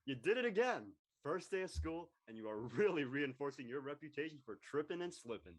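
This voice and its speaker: A man playing a brash, image-obsessed high-school boy in an amateur script reading, blunt and mocking. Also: big pitch swings, brisk, rough recording. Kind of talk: amateur audio drama table read.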